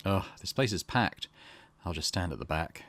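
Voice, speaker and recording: A man hosting a gaming let's play, casually reading on-screen text and commenting in a musing, low-key way. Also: expressive, normal speed, a bit bright, slight background noise. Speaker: gaming channel host.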